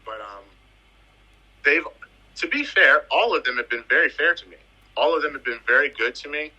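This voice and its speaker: Bright husky-voiced man from the Virgin Islands. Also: a little high, moderate pace, rough recording. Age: 30s. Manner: animated, emphatic, repeats phrases for effect. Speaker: footballer guest. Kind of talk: casual interview storytelling.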